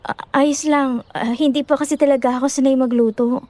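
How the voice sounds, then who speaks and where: Shy young girlfriend played by a woman, very high voice, timid, hesitant, dry sound. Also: fairly even intonation, fairly quick, warm tone, no echo, natural voice. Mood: timid, apologetic. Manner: stammering, timid. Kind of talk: dramatised audio horror story acting.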